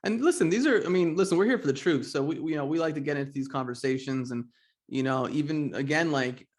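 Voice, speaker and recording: A man's voice: a little high, fast talker. A man in his forties hosting a podcast interview, talking candidly and rambling with lots of fillers and restarts. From United States. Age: 40s. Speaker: podcast host.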